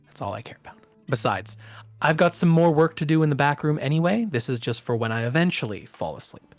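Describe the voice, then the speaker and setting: A man's voice: on the high side, sing-song, fairly quick, no echo. A man doing an overeager innkeeper woman. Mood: breezy. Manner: cheerful, overeager hospitality. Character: doting, insistent woman innkeeper.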